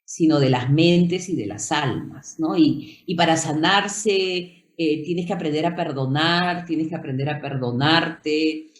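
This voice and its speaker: Low-pitched lady, reflective, stumbles on words, echoey room. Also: unhurried. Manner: thoughtful, repeats words, ends phrases with "¿no?". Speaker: historian guest. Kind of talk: reflective interview talk.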